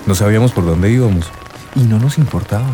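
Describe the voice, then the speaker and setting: A man's voice: on the low side, fast, over music, natural voice. An actor playing an earnest young man in love, searching and telling his story in a radio drama. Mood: wistful, earnest.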